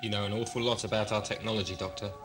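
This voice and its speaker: A man's voice: lowish voice, little pitch movement, rapid-fire, over music, close to an everyday voice. A man playing a sceptical, sarcastic prison-ship commander in a fan audio drama, with a clipped, suspicious manner. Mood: stern. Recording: loud sound bed, old cassette drama.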